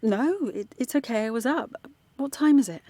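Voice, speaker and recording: An actress playing a middle-aged former activist turned art teacher from London, talking softly late at night in a radio drama. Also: female voice, a little high, lively intonation, normal speed. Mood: concerned. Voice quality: soft, hushed late-night talk. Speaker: character in audio drama.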